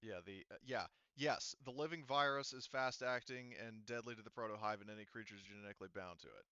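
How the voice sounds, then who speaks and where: A man's voice: on the low side, brisk, sharp tone, clear, resonant tone, dry recording, highs cut off. A man running a tabletop roleplaying game as game master, explaining casually in a hedging way and repeating himself.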